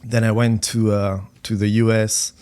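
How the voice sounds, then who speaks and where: A middle-aged man from France, a finance executive speaking as a podcast guest, talking haltingly with many repeated words and fillers. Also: fairly low pitch, little pitch movement, darkish tone, clear, resonant tone. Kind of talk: conversational podcast interview.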